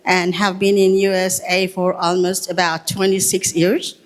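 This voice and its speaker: A woman's voice: lowish voice, little pitch movement, normal speed. A woman from Fiji, a singing contestant, greeting the audience and introducing herself on stage in a friendly way.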